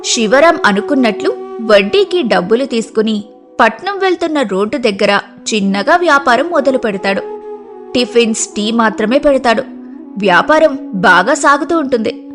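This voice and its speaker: High-pitched woman narrating a story. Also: lively intonation, normal speed, over music.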